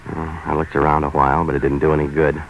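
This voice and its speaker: An actor playing a hard-boiled, wisecracking private operator in a deadpan, sardonic, clipped 1940s radio noir style. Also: male voice, rumbling low, quick pace, lo-fi sound. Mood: deadpan, brooding. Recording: noisy vintage 1940s radio recording.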